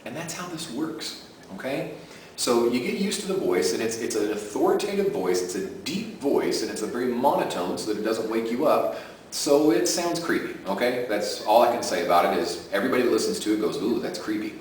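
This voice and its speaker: A man with a deep voice pitching his sleep audio program in a chatty way and often adding "okay?" to his points. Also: sing-song, brisk, a little hiss or hum. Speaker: self-help audio creator. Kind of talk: casual direct-to-camera pitch. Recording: roomy echo from a distant mic.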